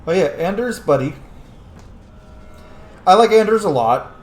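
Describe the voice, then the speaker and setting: A man's voice: high voice, animated, measured pace. Informal male gamer in an echoey room. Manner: informal, opinionated, swears freely. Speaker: gaming streamer playing and commenting. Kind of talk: casual game commentary. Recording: roomy echo with faint noise.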